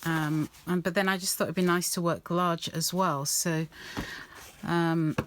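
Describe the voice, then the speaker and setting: A woman rambling softly with many ums and restarts as she works on an art journal page, her voice a little croaky. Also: fairly low pitch, fairly even intonation, measured pace, a little hiss or hum. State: slightly croaky voice. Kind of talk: casual craft-along chat.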